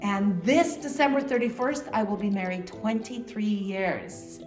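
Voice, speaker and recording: A woman's voice: medium pitch, unhurried, a little mellow, echoey room, soft background music. A Canadian woman in her forties, presenting her family and her vision earnestly as a guest. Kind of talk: personal presentation over a home video.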